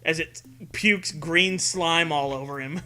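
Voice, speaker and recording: Sharp, high-voiced sarcastic man riffing. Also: slow. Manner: sarcastic, profane. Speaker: co-host and commentator. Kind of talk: casual comedic commentary.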